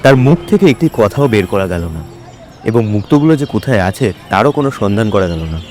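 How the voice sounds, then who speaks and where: A man's voice: lowish voice, big pitch swings, brisk, darkish tone, slight background noise. A man narrating a story for an audio drama in a scripted, dramatic storytelling style.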